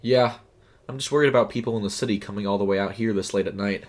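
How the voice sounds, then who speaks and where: A man's voice: on the low side, fairly even intonation, fast, natural voice. Man playing an easygoing young night-shift worker. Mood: mildly worried. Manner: casual, hesitant, conversational. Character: easygoing, considerate young male fast food night worker.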